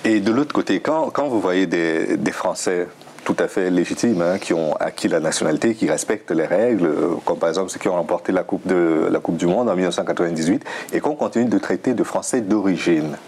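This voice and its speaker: Male TV interviewer, very low husky voice, pointed questions. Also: a little fast, darkish tone. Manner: short probing questions.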